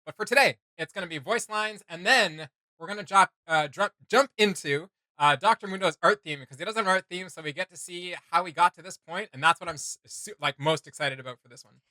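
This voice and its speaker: A man's voice: high-pitched, fairly quick, bright, forceful tone, no echo. A man hosting a reaction video, talking in a casual, rambling, conversational way.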